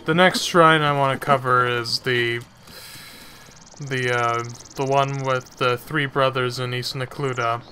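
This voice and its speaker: A young man with a stuffy nose giving slow, halting casual commentary on a game from his bedroom. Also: mid-range voice, fairly even intonation, sharp tone. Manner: slow, halting, self-correcting chatter. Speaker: gaming channel host. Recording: noisy home recording.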